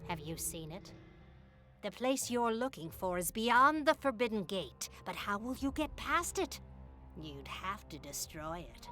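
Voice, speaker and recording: A scheming voodoo priestess in an adventure game. Her delivery is theatrical and enticing, with wide swings of pitch, and music plays clearly behind her. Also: fairly bright tone. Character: mysterious, scheming voodoo priestess seeking her lost power. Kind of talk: video game character acting.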